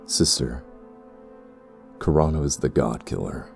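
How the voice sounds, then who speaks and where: An actor playing a stern, ambitious temple priest in a fantasy audio drama, speaking formally and gravely with a husky, gravelly voice. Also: male voice, a bass voice, expressive, a little slow, soft background music, exaggerated voice. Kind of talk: fantasy audio roleplay acting.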